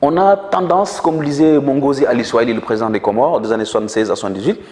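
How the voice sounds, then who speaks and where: A man's voice: mid-range voice, fast talker, a little mellow. Man explaining in an echoey room. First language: Manjak. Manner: earnest lecturing tone with many hesitations. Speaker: guest. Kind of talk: explanatory interview talk.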